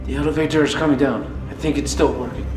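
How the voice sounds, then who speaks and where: A man's voice: mid-range voice, steady tone, fast, lightly acted. A man playing an anxious, concerned survivor of a zombie outbreak in a radio play. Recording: echoey sound with music.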